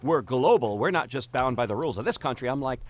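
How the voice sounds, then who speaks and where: Animated man telling a story. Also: medium pitch. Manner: halting repetitions, acts out reported conversations. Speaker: podcast cast member. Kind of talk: animated casual group chat, storytelling.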